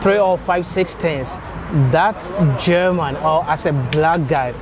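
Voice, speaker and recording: A man hosting a street interview for his channel, casual and upbeat, asking short questions and nodding along. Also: on the high side. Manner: casual, quick backchannels, hypes his viewers. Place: outdoors on a busy city street. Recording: busy street crowd behind. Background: crowd chatter and passing traffic.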